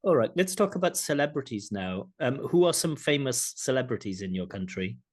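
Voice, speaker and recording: A man working as a speaking examiner, asking scripted questions in a measured, formal way over a video call. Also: mid-range voice, lively intonation.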